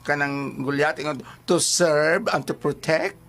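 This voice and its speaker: A man's voice: a little high, steady tone, measured pace, fairly bright tone. A man with a Cebuano accent in a casual, teasing storytelling chat as co-host of an advice show.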